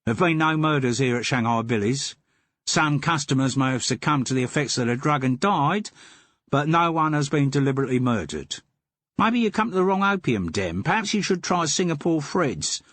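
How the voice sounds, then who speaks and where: A man's voice: medium pitch, expressive, normal speed, a bit bright, no echo, voice pushed up, lightly acted. A man voicing a shifty, grudging male opium-den keeper with a Cockney twang and a sly, wheedling manner. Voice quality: put-on routine voice, sly and wheedling.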